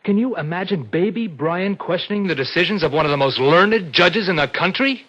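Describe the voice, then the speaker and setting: Cocky young American student, dull radio sound. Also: male voice, sits high, expressive, brisk, fairly bright tone. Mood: scornful. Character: young male law student brother, cocky scheming narrator. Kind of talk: old-time radio drama acting and narration. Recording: dull vintage radio recording with music.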